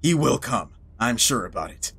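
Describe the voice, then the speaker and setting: Man putting on a low grave voice, cold cannibal killer, slow, headset mic. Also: sing-song, a bit bright, husky, exaggerated voice.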